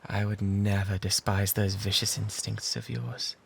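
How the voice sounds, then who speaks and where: A man with a British accent playing an obsessive, lovesick pirate in an intimate ASMR roleplay, breathy and often whispering, pleading theatrically and devotedly. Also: on the low side, level tone, unhurried, fairly bright tone. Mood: coaxing devotion. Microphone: close binaural microphone. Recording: close binaural recording with background ambience noise.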